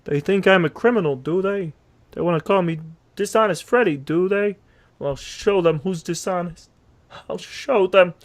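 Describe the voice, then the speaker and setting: A man's voice: high voice, normal speed, natural voice. A man voicing an anxious, earnest horse who narrates his own downfall in a stammering, melodramatic way. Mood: bitter. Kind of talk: scripted audio comic narration.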